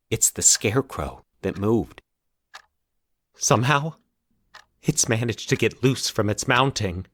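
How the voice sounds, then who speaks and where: A man voicing an anxious, halting man confessing a recurring nightmare in a horror audio story. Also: fairly low pitch, dry recording. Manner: nervous, halting, stumbling over his words. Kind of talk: dramatized horror audio fiction.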